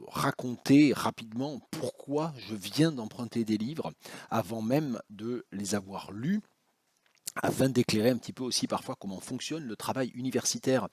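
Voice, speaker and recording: A man with a husky, rather low voice chats about books, often pausing on fillers and starting phrases over. The sound is dry and close, with no room echo. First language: French. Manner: hesitant, many fillers and restarts. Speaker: university academic, book vlogger. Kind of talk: casual spoken book vlog.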